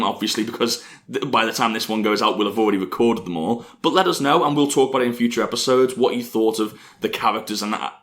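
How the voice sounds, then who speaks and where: A man's voice: lowish voice, fairly bright tone. A man co-hosting a podcast in casual chat. He talks loosely, repeats his words and laughs often. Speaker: podcast co-host.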